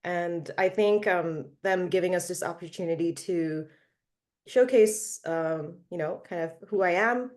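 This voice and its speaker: A woman's voice: on the low side, unhurried, a little mellow. A young woman from Malaysia, a singer-songwriter being interviewed, answering casually with many fillers and restarts. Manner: hesitant, many fillers and restarts, laughs often.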